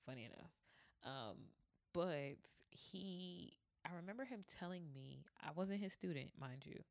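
Podcast host, female, deep and gravelly, candid storyteller, dry booth sound. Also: lively intonation, unhurried, no echo. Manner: candid, conversational, acts out quoted dialogue. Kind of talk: personal storytelling monologue.

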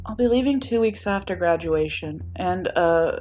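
A woman's voice: fairly low pitch, expressive, moderate pace, darkish tone, highs cut off, faint music behind, natural voice. A woman voicing a laid-back, bashful teenage boy with a hesitant, matter-of-fact manner in a story reading.

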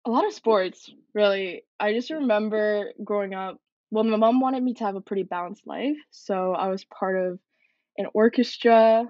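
A woman's voice: medium pitch, normal speed, dark tone, no room sound, lo-fi sound. A young woman from Canada, a military cadet, answering interview questions in an earnest, reflective way. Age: young adult.

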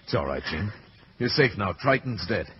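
An actor playing a calm, protective, reassuring male sweetheart in an old-time radio drama. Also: on the low side, moderate pace. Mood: concerned. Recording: noisy, muffled old radio recording.

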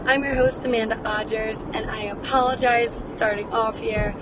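An upbeat woman, her voice slightly raspy from days of cheering, talks in a warm, encouraging way as a wellness podcast host. She records from inside a moving car. Road noise hums clearly underneath her voice. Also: a little high, fairly even intonation, normal speed. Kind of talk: wellness podcast, guided breathing.

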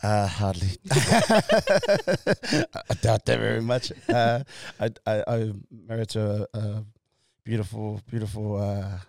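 A man in his forties from Tonga, telling his story as an interview guest in a halting way, full of fillers and restarts, laughing easily. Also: lowish voice, expressive, vocal fry, dry recording, clean studio sound. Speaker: guest, company co-founder. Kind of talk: casual interview chat.

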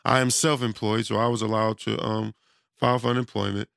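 A man talking off the cuff with a gravelly, fry-tinged voice that is going hoarse as he loses it. The tone underneath stays smooth and resonant. The recording is close and dry, and he rambles and restarts his thoughts in a relaxed, conversational way. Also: lowish voice, fairly quick, dry recording. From United States. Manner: loose, rambling, conversational, with many restarts and fillers. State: losing his voice. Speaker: self-employed studio owner. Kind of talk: casual monologue.

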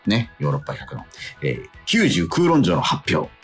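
A man hosting a radio show, chatting casually and reading out letters in a polite, animated way with frequent interjections. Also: low voice, moderate pace, breathy edge. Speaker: radio show host and actor. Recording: music playing faintly behind. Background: background music.

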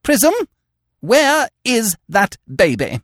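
A man's voice: high voice, huge pitch swings, extremely slow, fairly bright tone, no room sound, studio quality, pitched above the performer's own voice, exaggerated voice. A man voicing an imperious, haughty aristocratic older woman in a storybook reading.

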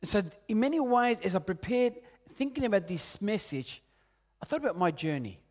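A man in his late forties from Peru, preaching a sermon in an earnest way, rising loud on key points and dropping soft and low. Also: very animated, normal speed. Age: 40s. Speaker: pastor.

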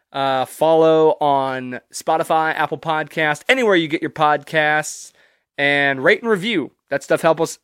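A man's voice: fairly high pitch, a bit bright, clear, resonant tone, no room sound, clean studio sound. A young man hosting a solo podcast, stuffy and congested from allergies, talking casually and rambling with loose, profane humor. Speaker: podcast host.

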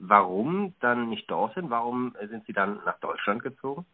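A man hosting a podcast, formally interviewing a guest and reading out prepared questions in a polite, measured manner. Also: mid-range voice, very animated, phone-quality sound.

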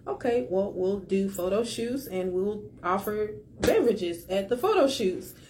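A woman hosts a casual podcast in a mid-pitched voice. She is enthusiastic and chatty, with an easy laugh, and there is some faint noise behind her. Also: animated, measured pace, darkish tone. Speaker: podcast host.

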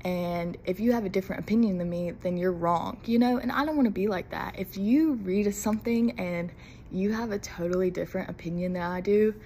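Young woman, podcast host, nervous and giggly, rambling chat, faint rumble behind. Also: fairly quick, mellow. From Mississippi. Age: young adult. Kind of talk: casual solo podcast chat.

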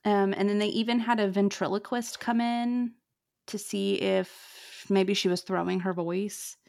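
A woman with a fairly deep voice and some vocal fry narrates a true ghost story for podcast listeners. She talks casually, padding her sentences with "like" and "um" as she goes. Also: steady tone, measured pace, darkish tone. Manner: chatty, lots of "like" and "um". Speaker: podcast host telling the story.

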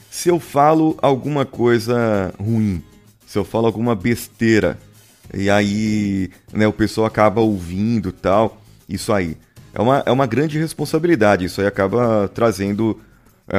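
A man with a fairly low voice and a Brazilian Portuguese accent talks on his podcast in a loose, conversational way. He often asks his co-hosts questions, and faint music plays underneath. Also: darkish tone. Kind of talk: casual podcast discussion.

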